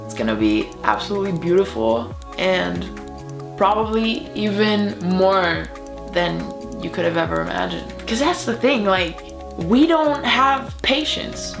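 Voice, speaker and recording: A woman from Germany, German her first language, speaking English in an earnest, encouraging motivational vlog. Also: fairly low pitch. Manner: earnest, encouraging, with soft laughs. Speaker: video creator.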